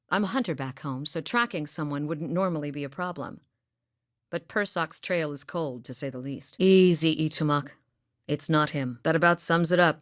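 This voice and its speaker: A seasoned huntress from a distant southern island, speaking low and deep with dry, wry practicality. Her lines come from a video game, recorded close and dry in a studio. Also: dry recording. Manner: wry, dry, matter-of-fact. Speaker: game companion character. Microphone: close studio mic.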